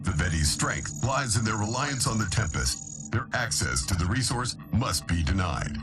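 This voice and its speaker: A male military intelligence briefer delivers formal game mission narration in a fairly low voice. His manner is impersonal, authoritative and clipped, with music clearly playing behind him. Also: very animated, moderate pace. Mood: clinical, formal.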